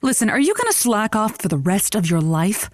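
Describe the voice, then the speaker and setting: Woman acting female radio host, middle-pitched, bawdy, blunt, wry, motherly, conversational audio comedy. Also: sing-song, fairly quick, no echo, clean studio sound, lightly acted. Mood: blunt, prodding.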